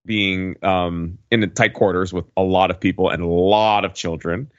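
A man on a podcast casually recounting an experience in a wordy, halting way, often correcting himself. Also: deep voice, fairly even intonation. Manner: wordy, halting delivery with frequent hedging and self-corrections. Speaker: podcast regular recounting a trip. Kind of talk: casual chat, rambling storytelling. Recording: dull lo-fi, roomy echo.

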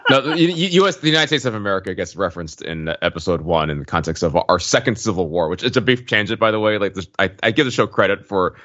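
A man talks quickly as a co-host in a casual podcast discussion. The recording is a little dull and band-limited. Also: fairly low pitch, sharp tone.

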